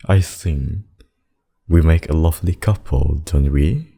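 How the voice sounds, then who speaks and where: A man's voice: extremely low pitch, measured pace, gravelly, dark tone, husky, heavily acted. A man playing a possessive, smug demon king in a roleplay ASMR, courting with theatrical, courtly menace. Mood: insistent, proud.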